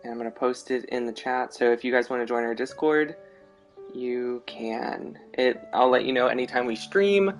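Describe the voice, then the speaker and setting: A man's voice: medium pitch, moderate pace. Chatty male game streamer over music. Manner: informal, chatty, self-commenting. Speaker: game livestream host. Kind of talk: casual gameplay commentary. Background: video game music.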